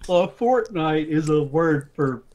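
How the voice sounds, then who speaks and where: A man's voice: on the high side, lively intonation, slow, dull audio, over a noisy crowd. A man giving a dramatic, mock-serious reading of an absurd comic story, often amused by it. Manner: amused, breaking into giggles.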